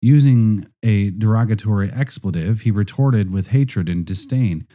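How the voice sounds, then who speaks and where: Deep, very mellow male narrator. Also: normal speed.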